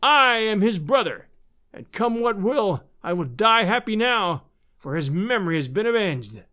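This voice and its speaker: Vengeful storybook villain acted by a male narrator: high, wildly varied, melodramatic, stretching out every gloating taunt. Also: very animated, bright, forceful tone, heavily acted. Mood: vengeful, triumphant. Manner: taunting, melodramatic, drawn-out delivery. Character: vengeful, gloating villain, a frenzied adult man.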